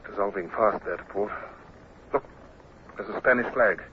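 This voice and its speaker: A low-pitched British naval captain of the Napoleonic era, played by a male actor, anxious yet decisive. He speaks in clipped orders and hesitant asides. The old radio drama recording is dull and full of noise. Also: normal speed, natural voice. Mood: flustered, brisk.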